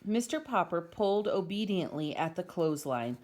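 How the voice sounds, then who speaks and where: A woman's voice: on the low side, very animated, normal speed, darkish tone. A woman reading a children's story aloud expressively, as a parent does for the family at home.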